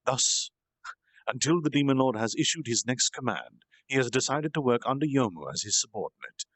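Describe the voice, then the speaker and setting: A man's voice: mid-range voice, expressive, a little fast, a bit bright, dry recording. A man narrating a fantasy story aloud as an informal storytime reader. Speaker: storytime streamer reading aloud. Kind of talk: animated amateur story narration. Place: at home in a reading chair.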